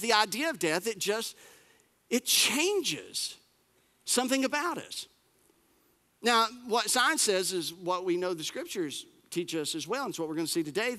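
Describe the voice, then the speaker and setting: Brief: man, 40s, pastor, high voice, emphatic sermon style, echoing church hall. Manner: lively, emphatic preaching with repetition and asides.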